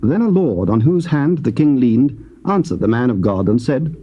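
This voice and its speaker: A man narrating scripture in a measured, stately reading. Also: mid-range voice, lively intonation, dark tone, slightly rough, lo-fi sound. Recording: faint tape hiss.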